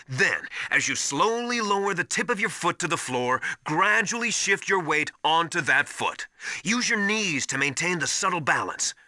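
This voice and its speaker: A very bright, close and dry male voice with lively intonation. He is a seasoned military survival mentor in a video game, giving practical, encouraging briefings in a matter-of-fact way. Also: fairly high pitch, no room sound, heavily acted.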